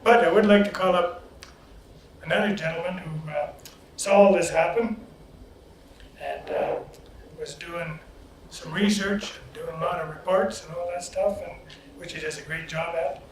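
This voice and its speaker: A man hosting a story circle, speaking in a plain, halting way. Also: high-pitched, steady tone. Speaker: host. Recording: distant echoey room, faint noise.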